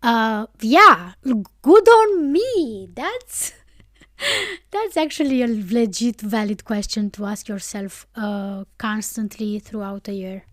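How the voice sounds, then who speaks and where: A woman's voice: on the high side, sing-song, slow pace, fairly bright tone, no echo, studio quality. A woman from Romania, an English speaker, hosting her podcast in a reflective, rambling way that keeps correcting itself. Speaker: podcast host. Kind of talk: casual solo podcast reflection.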